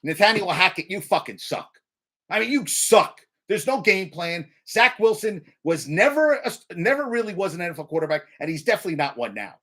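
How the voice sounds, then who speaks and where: A man hosting a casual sports talk show, ranting in a heated, profane, rambling way with frequent restarts and shout-outs to viewers in chat. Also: sits high, sing-song, a little fast. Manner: addresses viewers in live chat by name.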